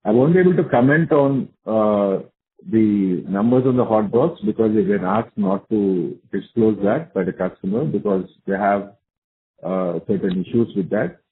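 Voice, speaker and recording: A man's voice: lowish voice, lively intonation, normal speed. A man with an Indian accent speaks as a company executive answering questions on an earnings call, assured and repeating key points. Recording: narrow tinny phone conference line.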